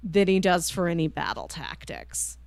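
A woman's voice: lowish voice, very animated, normal speed, vocal fry. A woman co-hosting a casual book discussion, giving her opinions in a reflective, conversational way. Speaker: podcast co-host.

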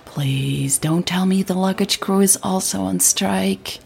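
A woman voicing an annoyed woman traveller in an audio drama, dry but polite, with a husky, breathy voice. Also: mid-range voice, unhurried, a little mellow. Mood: dry exasperation.

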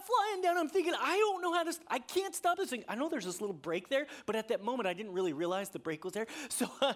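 A man preaching to his congregation as their pastor. He tells personal stories with real animation, his voice climbing high as the tension builds, then lands his points by repeating them with emphasis. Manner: animated storytelling, voice climbing high when excited; emphatic, repeating key phrases. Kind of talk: sermon.

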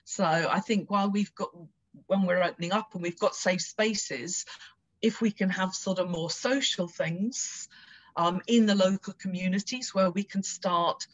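A woman's voice: medium pitch, lo-fi sound. A woman with an Irish accent, a guest speaking in a casual, encouraging interview chat. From Ireland.

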